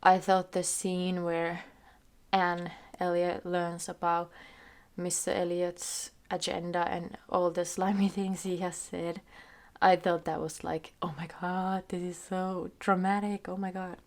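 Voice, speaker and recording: Finnish girl with a cold, lowish voice, rambling book chat to her camera. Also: flat delivery, unhurried. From Finland. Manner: chatty, loose, thinking aloud with fillers. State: sick, slightly hoarse. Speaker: book vlogger. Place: small room in front of a bookshelf. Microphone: camera in front at desk distance.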